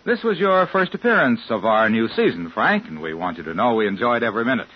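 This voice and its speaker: Male sponsor announcer, animated pitch, slightly husky, declamatory, dull vintage radio recording. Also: fast talker. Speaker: commercial announcer.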